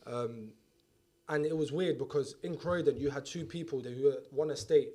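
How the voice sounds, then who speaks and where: Young south london guy, middle-pitched voice, laid-back chat, keeps asking if you get him. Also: normal speed, mellow. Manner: laid-back, often checks "do you get what I'm trying to say". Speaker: guest footballer. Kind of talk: casual interview chat.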